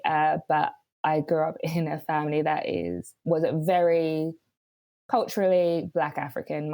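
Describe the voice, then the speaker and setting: Low-voiced woman raised in London. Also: no echo. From London, England. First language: Swahili. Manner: animated, laughs while telling stories. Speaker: guest. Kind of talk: casual conversation. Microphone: video call.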